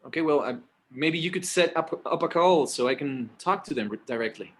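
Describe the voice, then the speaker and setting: A man's voice: fairly high pitch, expressive, a little fast, a bit bright, a little hiss or hum. A man playing an anxious, exasperated son who stammers earnestly in a screenplay table read. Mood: nervous.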